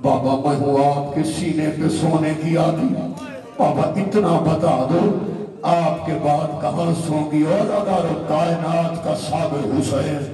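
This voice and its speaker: A man preaching a mourning sermon in a chanted, sing-song lament that keeps rising into shouts. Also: steady tone. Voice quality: high, sing-song wailing delivery that rises to shouts. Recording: echoey hall, distant mic, music and crowd.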